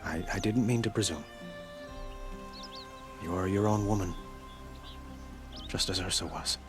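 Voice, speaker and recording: A noble ruler in a game cutscene. The voice is on the low side, with formal, courtly phrasing and gracious earnestness. Music runs underneath. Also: male voice, unhurried. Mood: gracious, wistful. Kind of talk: cinematic video game acting.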